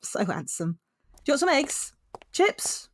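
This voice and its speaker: Exasperated daughter, very lively pitch. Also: female voice, measured pace, full character voice. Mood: warm, tired. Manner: nagging, exasperated. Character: exasperated, caring adult daughter of a widow. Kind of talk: naturalistic audio drama acting.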